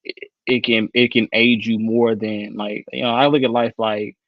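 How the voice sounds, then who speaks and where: A man in his early thirties speaks as a guest on an interview show over a video call. He talks in an animated, slangy way full of fillers and restarts. The call audio is dull and slightly lo-fi, and it has a dry, close sound. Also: on the low side, moderate pace, no room sound. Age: 30s. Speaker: guest, football analyst. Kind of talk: casual interview chat.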